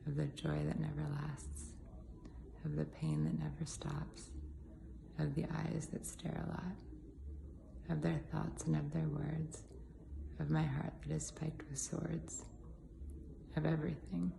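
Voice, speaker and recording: Woman, rhythmic poetry, heavy vocal fry, monotone, noisy recording. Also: low-pitched, a little slow, long pauses. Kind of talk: spoken-word poetry reading. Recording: clear background noise.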